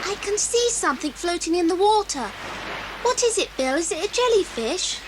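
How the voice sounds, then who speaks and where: High-voiced, sweet English little girl. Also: very animated, normal speed. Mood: curious. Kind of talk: 1980s children's audio drama acting. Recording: noisy cassette, engine rumble behind.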